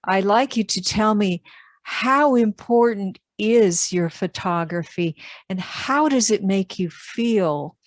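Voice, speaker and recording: A woman's voice: medium pitch, slow, dry recording, studio quality. A woman hosting a podcast, interviewing a guest and praising her work effusively. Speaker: podcast host.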